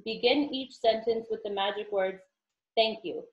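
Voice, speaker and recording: Female inspirational reader, slightly high voice, strong room echo, dulled audio. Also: moderate pace, highs cut off. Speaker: guest reader in an inspirational group.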